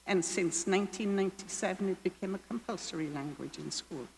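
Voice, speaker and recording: An older woman with a Welsh accent, leading worship and telling a story in an unhurried way with slow, emphatic phrases. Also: lowish voice, gravelly. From Wales. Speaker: guest worship leader. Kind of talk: reflective sermon talk. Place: church sanctuary. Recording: long church reverb, faint hiss.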